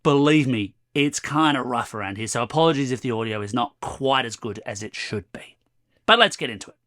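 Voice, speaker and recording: A slightly hoarse man in his forties giving a chatty, wry tech review to camera from a room at home. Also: medium pitch, fairly quick, fairly bright tone, no echo, studio quality. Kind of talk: casual product review to camera.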